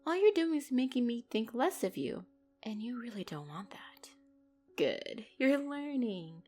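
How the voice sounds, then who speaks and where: A woman's voice: fairly high pitch, sing-song, unhurried, darkish tone, faint music behind. A woman playing a commanding, possessive female mafia boss in a dramatic audio roleplay, condescending and controlled, lacing mock sweetness with threats. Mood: cold, taunting.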